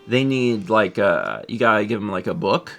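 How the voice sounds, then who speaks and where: A man in his late thirties chatting on a live stream, his bright voice rising and falling a lot as he rambles and laughs at himself. Faint music plays under the talk. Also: fairly low pitch, very animated, moderate pace, gravelly. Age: 30s. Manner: rambling, self-deprecating, laughs often. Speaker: livestream co-host. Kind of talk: casual chat reading viewer comments.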